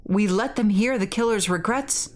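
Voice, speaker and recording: A woman's voice: mid-range voice, normal speed, a little mellow, natural voice. A woman voicing a tough teenage schoolboy in a casual, matter-of-fact way, reading game dialogue aloud. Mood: blunt, disbelieving. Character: tough, blunt teenage high school boy.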